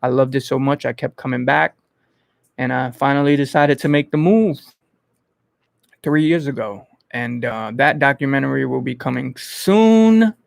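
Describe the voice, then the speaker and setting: Gravelly New man, chatting casually. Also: mid-range voice, no room sound, studio quality. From Bronx, New York. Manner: informal, halting, thinking aloud. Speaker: host of an online entertainment interview channel. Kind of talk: casual livestream chat answering viewers.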